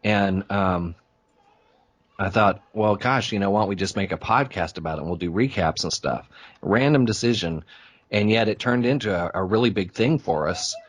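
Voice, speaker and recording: A man's voice: on the low side, moderate pace. A man who hosts podcasts, chatting informally and telling anecdotes in a casual interview. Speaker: podcast host being interviewed.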